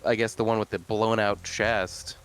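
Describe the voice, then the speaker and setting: A man's voice: fairly low pitch, little pitch movement, moderate pace, a little hiss or hum. A man chatting casually as a player in a tabletop game, wry and hesitant as he thinks aloud.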